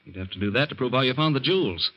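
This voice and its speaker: Slick crook guy played by a man, old radio drama, pitch moves around a lot, slangy, muffled sound. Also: expressive, very fast, natural voice. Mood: confident, scheming. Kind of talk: old-time radio crime drama acting. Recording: muffled vintage radio recording.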